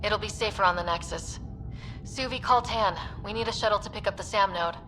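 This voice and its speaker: An actress playing a confident young expedition leader in a video game, decisive and wry. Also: female voice, medium pitch, brisk, over music, close to an everyday voice, poor audio. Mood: resolute.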